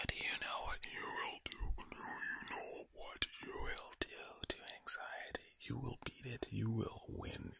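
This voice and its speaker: A man speaking in a breathy, low ASMR whisper close to the microphone, deliberately repeating words in a soothing yet sinister-sounding way. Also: pushed and bright, no echo. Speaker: ASMR performer. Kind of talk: ASMR monologue of whispered and low soft reassurance.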